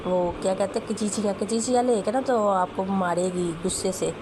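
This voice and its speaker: A young woman with a sore, hoarse throat, chatting fondly as a family vlogger about her little daughter, often clearing her throat. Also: medium pitch, normal speed. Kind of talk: casual voice-over narration. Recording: voice with clear background noise.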